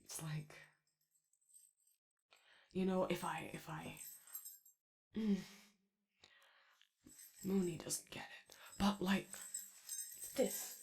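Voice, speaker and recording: A woman voicing an anxious, friendly animatronic daycare robot in a halting, soft ASMR roleplay. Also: mid-range voice, very animated, creaky, full character voice. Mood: gentle, rambling. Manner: halting, hesitant, rambling, eager to please.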